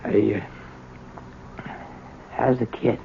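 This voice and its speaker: A meek, ailing old grandfather fresh from prison, talks very slowly and hesitantly. His voice is low and creaky, in a muffled old radio drama recording. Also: level tone, exaggerated voice. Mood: meek, wistful. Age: older. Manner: halting, hesitant. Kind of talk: 1970s American radio drama acting. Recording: dull, slightly noisy old radio recording.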